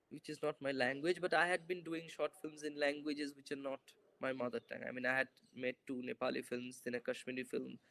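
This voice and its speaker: A man's voice: fairly high pitch, rough recording. A young man, a filmmaker, answering interview questions over a video call in a thoughtful, hesitant way with many fillers and restarts. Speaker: filmmaker guest. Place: bright indoor room. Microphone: video call, laptop camera.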